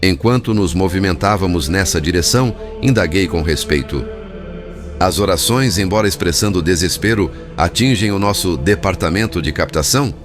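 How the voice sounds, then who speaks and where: A man reading scripted audiobook narration in a formal, measured way. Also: deep voice. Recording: music bed behind narration.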